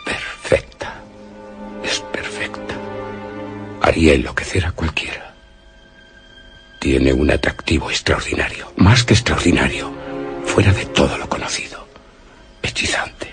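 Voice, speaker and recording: An actor voicing the hushed, brooding thoughts of a fascinated man in a gothic radio drama. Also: rumbling low, very animated, a little slow, long pauses, music in the background, full character voice. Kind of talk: interior monologue in suspense radio drama.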